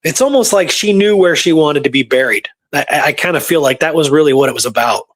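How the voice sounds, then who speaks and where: Guy with a slightly high voice, chatting casually and telling stories, very informal and slangy. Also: expressive, fast talker, fairly bright tone. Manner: informal, colloquial, uses "man" and "dude". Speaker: guest history researcher. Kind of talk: casual conversational storytelling.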